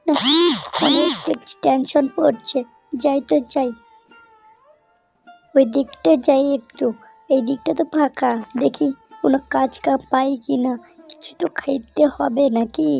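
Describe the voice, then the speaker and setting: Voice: high voice, steady tone, a little slow, creaky, pauses often, darkish tone, soft background music, heavily acted. A performer voicing a hungry, scheming village husband animal in a cartoon, exclaiming and muttering to himself. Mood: fretful. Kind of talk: Bengali cartoon voice acting.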